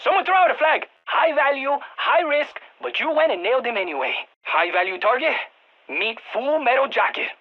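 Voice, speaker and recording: A woman voicing an eager, geeky field scientist in a video game, with a Latin American Spanish accent, talking over radio comms in an expressive, quirky way. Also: sits high, normal speed, phone-quality sound. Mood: upbeat, then triumphant. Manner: expressive, quirky, nerdy enthusiasm. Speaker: mission guide scientist.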